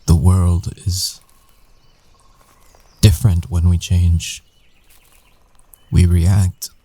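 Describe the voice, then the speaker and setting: A man playing a guarded, earnest werewolf ex-boyfriend in an intimate ASMR roleplay, speaking haltingly with long pauses. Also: deep voice, little pitch movement, mellow, husky, exaggerated voice. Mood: weary, shaken.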